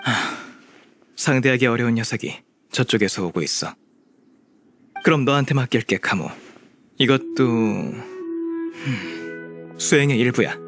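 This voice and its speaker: A man's voice: mid-range voice, measured pace, pauses often, fairly bright tone, faint music behind. A man voicing an aloof, stern immortal master in a video game, cool, terse and commanding.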